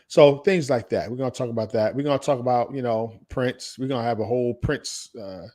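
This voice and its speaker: A man's voice: medium pitch, brisk, warm tone. A man co-hosting a podcast in casual, slangy chat, with some swearing. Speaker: podcast co-host.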